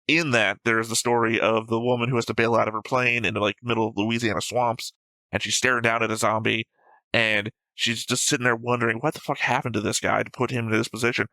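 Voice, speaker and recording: A man hosting a casual reaction show in a bright, forceful voice with a gravelly edge. He is blunt and sweary, argues his points, and the sound is dry and close. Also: mid-range voice, flat delivery, fast talker, no echo, low-quality recording. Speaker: host of anime reaction show. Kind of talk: casual chat.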